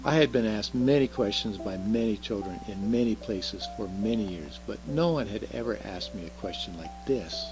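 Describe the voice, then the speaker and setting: A man reading a children's story aloud as an expressive narrator. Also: on the low side, normal speed, few pauses, rough recording. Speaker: story reader. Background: music under the reading.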